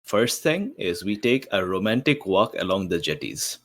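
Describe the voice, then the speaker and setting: A man with a fairly low voice and very animated intonation talks as a player in a tabletop game. He is casual and halting, working out ideas as he speaks.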